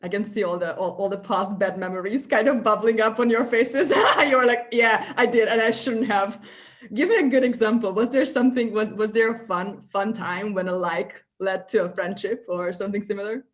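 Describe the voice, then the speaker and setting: A woman's voice: medium pitch, moderate pace. A woman in her thirties from Eastern Europe, hosting a casual discussion in a thoughtful, discursive way, often checking in with the listener. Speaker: host of an online discussion salon.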